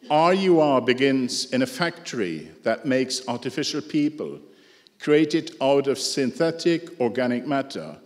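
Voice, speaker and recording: A man delivers a prepared lecture in English, which is not his first language. He speaks in a measured way, dropping dry, ironic asides, at a middling pitch. The voice rings with the long reverb of a large hall. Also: darkish tone. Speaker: speaker giving a talk.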